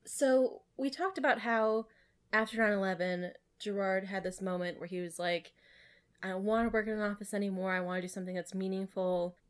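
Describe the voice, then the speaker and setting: A woman's voice: mid-range voice, steady tone, fairly quick. A woman co-hosting a podcast, moving between casual chat and reading passages aloud. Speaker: podcast co-host.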